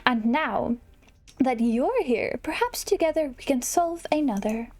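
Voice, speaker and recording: Woman putting on a high, doddering fairy godmother. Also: animated, unhurried. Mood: fond. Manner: doddering, gentle, hesitant. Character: kindly, forgetful, fussing elderly fairy godmother.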